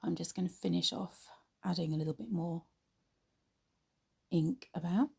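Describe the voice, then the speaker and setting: A woman hosting an art tutorial, narrating in an unhurried, informal way, her voice slightly croaky after a cold. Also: lowish voice, dark tone. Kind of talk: relaxed painting tutorial narration.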